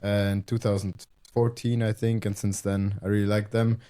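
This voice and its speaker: A man hosts a casual interview. His voice is low and mellow, with a dark, soft tone. He hesitates often and feels his way into each question. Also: level tone, normal speed, clear, resonant tone. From Germany. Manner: hesitant, searching for words. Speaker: podcast host.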